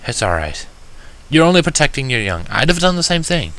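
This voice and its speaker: A man's voice: mid-range voice, very animated, brisk, a bit bright, pitched above the performer's own voice. A man voicing a timid, kindly, injured pony who speaks hesitantly and politely in a storybook reading. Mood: reassuring.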